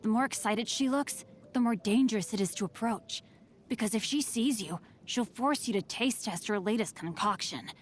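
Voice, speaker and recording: A woman voicing a bookish, sly teenage boy martial artist from a video game, speaking in formal, articulate, measured phrases. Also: on the high side, slight background noise, close to an everyday voice. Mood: poised, wry. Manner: formal, articulate, measured, old-fashioned wording. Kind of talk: scripted video game character voice lines.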